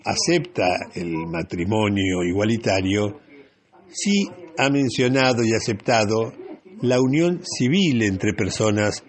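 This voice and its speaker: An Argentine man hosting a radio talk show, giving opinionated news commentary in a deliberate, emphatic way with pauses between phrases and a husky voice. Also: lowish voice. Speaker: radio host.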